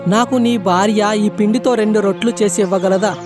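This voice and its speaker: A performer doing a gentle old beggar man. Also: mid-range voice, steady tone, normal speed, lightly acted. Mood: pleading. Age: older. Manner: gentle, pleading. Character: poor hungry old male beggar, gentle. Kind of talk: animated storybook voice acting. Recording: voice over loud background music.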